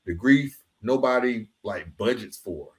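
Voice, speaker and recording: A man's voice: lowish voice, expressive, a little slow. A man hosting a podcast, talking casually and earnestly, often dropping in "man" and "bro" as he goes. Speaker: podcast host.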